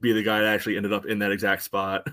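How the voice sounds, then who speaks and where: A man co-hosting a soccer podcast, giving casual analysis in a halting way, peppered with "you know". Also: lowish voice, completely flat delivery, bright, forceful tone, dry recording, clean studio sound. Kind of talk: casual sports analysis chat.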